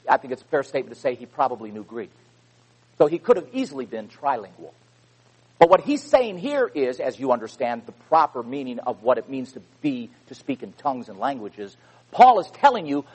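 A man preaching a sermon, reading scripture with loud emphasis and dropping into quiet asides. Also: medium pitch, husky, rough recording.

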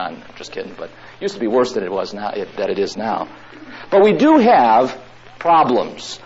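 A man preaching a sermon to a room of listeners, drawing out his words with long pauses. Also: lowish voice, darkish tone, slight background noise. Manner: slow, drawn-out phrasing with long pauses. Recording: dull lo-fi recording.

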